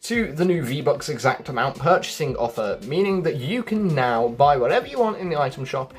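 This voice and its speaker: Husky-voiced man hosting a gaming video. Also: a little high, sing-song, a little fast. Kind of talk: casual gameplay commentary. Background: background music.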